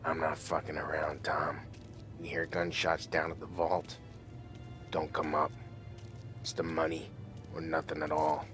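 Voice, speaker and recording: An actor playing a weary, restless middle-aged American mobster in a video game cutscene, talking in blunt street slang. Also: male voice, very deep voice, completely flat delivery, a little slow, pauses often. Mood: low, grim. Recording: music playing behind the voice.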